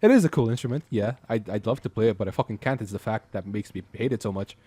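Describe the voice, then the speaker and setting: A man in his twenties from Brazil with an Irish accent, chatting as a podcast guest, rambling with halting restarts and frequent laughs. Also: lowish voice, mellow, husky, no echo. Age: 20s. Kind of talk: casual chat.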